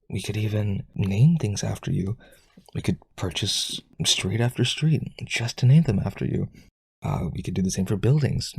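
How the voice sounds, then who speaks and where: A man playing a powerful, rich vampire aristocrat, desperately devoted, with breathy, raspy, intense and coaxing speech in a roleplay audio. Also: fairly low pitch, a little mellow, dry recording, full character voice. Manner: intense, coaxing, begging, halting.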